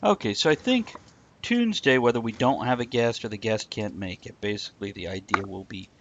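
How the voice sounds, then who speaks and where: A man's voice: on the low side, expressive, brisk, lo-fi sound, noisy background. A man co-hosting a live stream show, chatting casually with sarcastic, rambling jokes. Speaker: podcast co-host. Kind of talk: casual chat.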